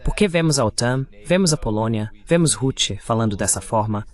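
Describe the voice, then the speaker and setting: Mid-pitched Brazilian male interview host. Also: lively intonation, normal speed. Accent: Brazilian Portuguese. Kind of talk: political interview, dubbed translation.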